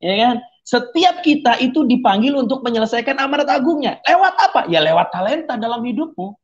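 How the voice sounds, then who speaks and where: Young guy preaching on a podcast, animated, keeps asking ya kan, kinda muffled lo-fi audio. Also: very high voice, brisk. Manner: preachy, animated, punctuates with rhetorical tags. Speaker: podcast guest giving testimony. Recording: dull lo-fi sound.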